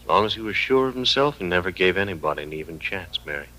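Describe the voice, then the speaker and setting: A man's voice: sits low, animated, pushed and bright, poor audio. Bedachtzame westernman, lage krachtige stem. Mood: somber. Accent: American. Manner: measured, reflective. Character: sober, thoughtful frontier man mourning a killer. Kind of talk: 1950s radio western acting.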